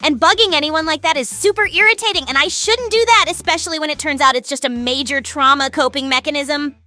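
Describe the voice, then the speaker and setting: A woman voicing a hyperactive, nosy, chatty female character in an animated web series, earnest and dramatic. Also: high-pitched, a little fast, faint music behind. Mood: manic, cheerful.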